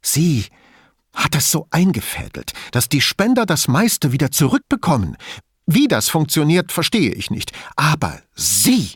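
A man's voice: fairly high pitch, big pitch swings, moderate pace, no room sound, studio quality, voice pushed up. A man voicing an agitated, defensive and spiteful woman in an audiobook, with a theatrical, indignant, emphatic delivery. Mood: angry. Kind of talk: audiobook character dialogue.

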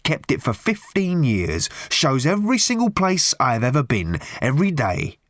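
A man's voice: a little high, huge pitch swings, normal speed, a bit bright, no room sound, voice pushed up. A man voicing a gloomy, indignant lorry driver who complains emphatically in an audiobook story. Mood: snarling, aggrieved. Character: ranting aggrieved gloomy male lorry driver.

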